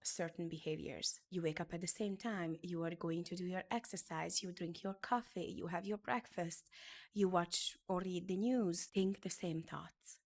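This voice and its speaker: A woman's voice: on the low side, a little mellow. A middle-aged woman from Hungary whose first language is Hungarian, giving an earnest, emphatic motivational talk as a beauty business educator. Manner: earnest, emphatic, repeats phrases for effect.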